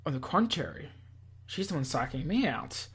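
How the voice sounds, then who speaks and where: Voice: medium pitch, sing-song, moderate pace, husky. A gaming video host reading a visual novel aloud with playful asides, often stumbling over words and self-correcting.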